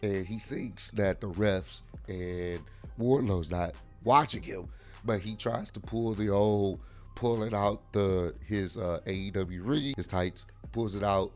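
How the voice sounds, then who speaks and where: A man in his thirties with a hoarse, worn-out voice, casually reviewing a wrestling event from a desk microphone at home. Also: on the low side, steady tone, slow pace, darkish tone, soft background music. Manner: casual, rambling with frequent fillers. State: hoarse, lost voice. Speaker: wrestling vlogger. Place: home room with lit shoe shelves. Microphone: desk mic on arm.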